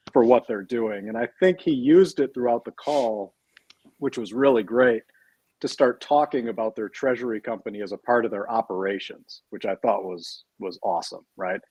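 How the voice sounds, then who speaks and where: A man's voice: fairly low pitch, a little mellow, husky, rough recording. A man speaking as a panel guest in a casual discussion, explaining steadily and tagging his points with questions. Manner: explanatory, keeps adding tag questions.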